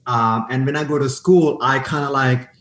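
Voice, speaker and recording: A man's voice: mid-range voice, fairly even intonation, moderate pace, a bit bright, roomy sound. A man from Sri Lanka with a Sri Lankan accent, a guest telling his story with eager restarts and repeated words. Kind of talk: storytelling interview answers.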